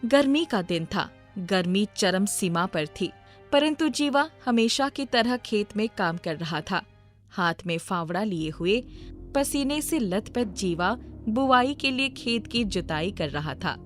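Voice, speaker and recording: A woman's voice: mid-range voice, lively intonation, measured pace, faint music behind. A woman narrating a scripted storybook tale.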